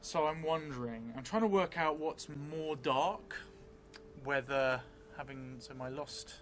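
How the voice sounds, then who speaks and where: A middle-aged man improvising narration for a solo tabletop game, thinking aloud thoughtfully in halting, short phrases. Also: mid-range voice, fairly bright tone. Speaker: solo role-playing streamer. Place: indoor room set up for streaming, seated at a table. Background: music.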